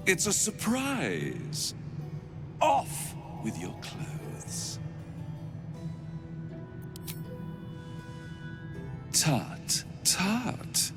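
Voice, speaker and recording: An actor playing a seductive, playfully cruel devil in a video game, with a purring, teasing, theatrical delivery. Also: male voice, high-pitched, creaky, heavily acted. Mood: playful menace. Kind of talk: video game character acting. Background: background music.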